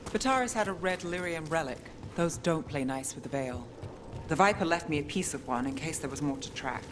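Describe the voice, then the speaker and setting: A woman's voice: deep voice, lively intonation, brisk, background noise. Dry, weary female detective, rainy backdrop. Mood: focused, businesslike. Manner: dry, understated wit. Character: weary, wry, guarded young female detective in a fantasy city. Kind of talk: video game voice acting.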